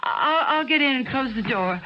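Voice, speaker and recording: A muffled old radio recording features a middle-aged American wife. Her voice is fairly high and very animated, with the theatrical, expressive delivery of radio drama. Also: moderate pace, a little hiss or hum. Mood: breathless, shaken. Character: dismissive, affectionate middle-aged wife of a scientist. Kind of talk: 1980 radio drama acting. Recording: dull old radio broadcast.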